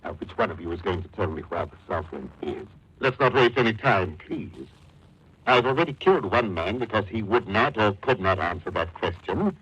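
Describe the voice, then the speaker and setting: A pompous, husky, silky radio villain. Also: male voice, on the low side, very animated, normal speed, fairly bright tone, full character voice. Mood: suave menace. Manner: pompous, elaborately polite, theatrical. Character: florid, courteous male villain, a menacing fat blackmailer. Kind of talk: 1940s radio mystery acting. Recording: dull 1940s radio recording.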